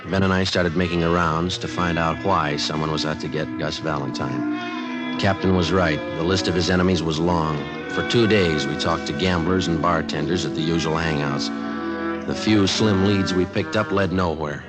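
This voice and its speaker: A man's voice: sits low, fairly even intonation, normal speed, close to an everyday voice. An actor playing a terse, matter-of-fact male police detective in an old radio crime drama, with clipped delivery. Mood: deadpan. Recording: old radio recording with music behind.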